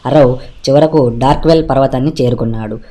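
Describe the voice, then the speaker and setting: A man narrates a scripted animated storybook tale. His voice is mid-pitched and distinctly mellow, with music playing faintly behind him. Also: fast talker. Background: background music.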